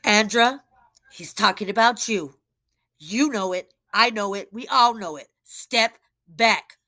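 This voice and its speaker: A woman's voice: mid-range voice, slow pace, sharp tone. A woman delivering an informal online rant in a blunt, emphatic, repetitive way. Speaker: online commentator posting a rant. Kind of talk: confrontational direct-to-camera rant, informal.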